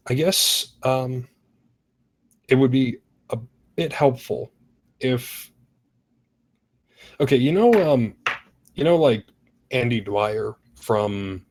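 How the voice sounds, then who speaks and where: A man's voice: medium pitch, takes it slowly, long pauses. A man giving casual script feedback in an online group call, point by point, often searching for his words. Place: online voice chat.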